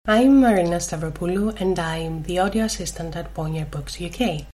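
Greek-accented woman, low voice. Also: moderate pace, dark tone. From Greece. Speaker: audiobook assistant. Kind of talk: prepared talk about her work.

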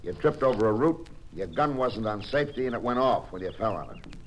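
An actor playing a cold, vengeful crook in an old-time radio crime drama, with an American accent and a hard, taunting manner. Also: male voice, on the low side, animated, brisk, natural voice. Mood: angry threatening. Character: menacing male crook, cold, vengeful, threatening at gunpoint. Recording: noisy vintage radio drama recording.

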